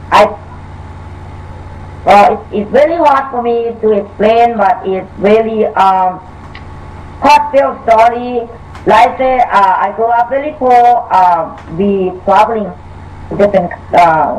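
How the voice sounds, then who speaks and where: Older Thai-accented woman, halting speech. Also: medium pitch, a little mellow, distant mic, low-quality recording. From Thailand. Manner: halting, broken English with many fillers. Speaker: author guest. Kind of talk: interview answers.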